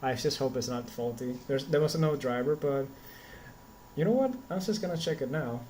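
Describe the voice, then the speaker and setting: A man's voice: mid-range voice, animated, moderate pace, a little mellow, a little hiss or hum. A man hosting a live PC repair stream, chatting casually and often restarting his sentences. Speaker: streamer host fixing his PC.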